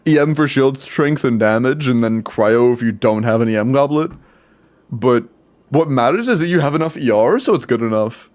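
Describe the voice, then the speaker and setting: A man's voice: mid-range voice, moderate pace. Young male streamer, congested, easygoing running commentary. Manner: relaxed, chatty, many fillers and laughs. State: stuffy nose. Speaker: streamer giving account advice. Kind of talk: casual live-stream commentary.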